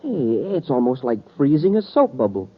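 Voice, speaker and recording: Eager, husky young space cadet. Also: male voice, medium pitch, huge pitch swings, fairly quick. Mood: curious, excited. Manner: boyish, eager, deferential. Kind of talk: old-time radio adventure acting. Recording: narrow, muffled vintage radio recording.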